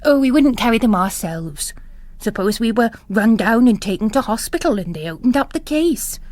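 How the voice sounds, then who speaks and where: An older mother superior voiced by a woman narrator in a storybook reading, bossy and full of disapproval. She is brisk, gossipy and emphatic, with a very lively pitch in a close, dry recording. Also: dry recording, natural voice. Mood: scandalised, dismissive.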